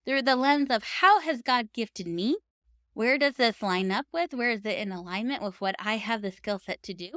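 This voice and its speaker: Clear, smooth-voiced woman giving encouraging advice. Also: on the high side, a bit bright, dry recording, clean studio sound. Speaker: host of a writing podcast. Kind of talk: encouraging advice talk to camera for writers.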